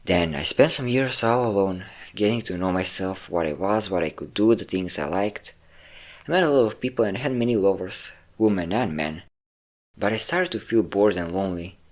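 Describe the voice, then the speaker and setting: Low male voice, obsessive vampire lover, acted by a man, intimate audio roleplay, theatrical, vain, cruel, lingering delivery. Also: animated, normal speed. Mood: coldly vindictive, then smug, possessive.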